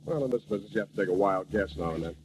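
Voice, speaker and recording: An actor playing a cocky, wisecracking hard-boiled private eye, narrating haltingly and deadpan in a radio parody. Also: male voice, on the low side, natural voice. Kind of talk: old-time radio detective parody. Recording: muffled, noisy old radio broadcast.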